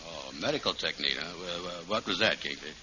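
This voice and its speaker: An actor playing a slow-witted, easygoing and skeptical sidekick in an old radio sitcom. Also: male voice, low-pitched, lively intonation, sharp tone, full character voice. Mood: doubtful. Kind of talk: 1940s-50s radio sitcom acting. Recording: muffled, very noisy vintage radio recording.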